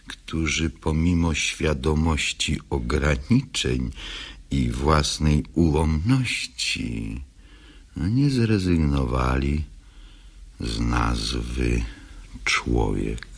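A man with a husky voice giving a reflective introduction to a play as its writer, halting and deliberate, with long pauses. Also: extremely low pitch. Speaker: playwright introducing own play.

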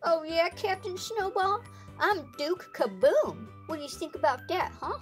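A woman's voice: very high-pitched, animated, unhurried, faint music behind. A woman voicing a swaggering, boastful male toy stuntman in a children's story. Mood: cocky.

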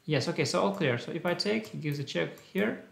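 A man's voice: a little high, lively intonation, fairly quick, creaky, a bit bright, reverberant. A young man with a Russian-tinged English accent, chatting casually on a live stream and thinking aloud in short clipped phrases. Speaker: chess streamer. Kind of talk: casual live-stream chat while solving puzzles. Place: small room indoors.

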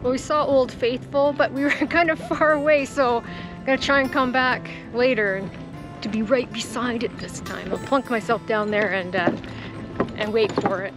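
A woman vlogging outdoors on a trip, chatting casually and excitedly with little laughs between phrases. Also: a little high, moderate pace, loud music behind the voice, rough recording. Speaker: travel vlogger. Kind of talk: casual vlog chat. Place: outdoors at a national park.

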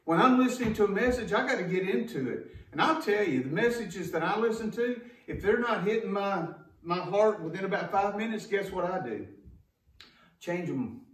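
A man's voice: sits high, expressive, normal speed. A man preaching a sermon as a pastor, in an emphatic, folksy way with rhetorical questions. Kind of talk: sermon to a congregation. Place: church sanctuary, indoors at a wooden pulpit. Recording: echoey room, distant microphone.

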